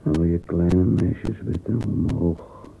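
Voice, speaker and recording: An actor playing a drowsy, lovelorn man in a radio drama, murmuring broken thoughts in a gravelly voice. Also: low-pitched, slow pace, warm tone, exaggerated voice. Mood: drowsy, longing. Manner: fragmented, murmuring, trailing into whispers. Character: drowsy, lovelorn middle-aged man lost in murmured reverie. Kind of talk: radio drama interior monologue. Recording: noisy, tinny phone-like old radio recording.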